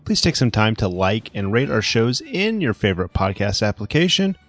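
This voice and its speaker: Podcast host, male, lowish voice, lively intonation, upbeat scripted sign-off over soft music. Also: normal speed.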